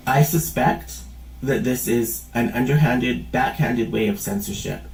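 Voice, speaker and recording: A man's voice: medium pitch, unhurried, darkish tone, faint music behind. A man hosting his own channel, ranting casually and indignantly, swearing and repeating himself. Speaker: channel host. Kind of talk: angry casual rant to camera. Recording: roomy sound from a distant mic.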